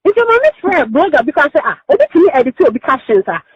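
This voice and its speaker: A high, very animated woman's voice on a muffled recorded phone call. She has a Ghanaian accent and talks insistently, circling back and rambling as she makes her case in a heated private conversation. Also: quick pace. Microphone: telephone.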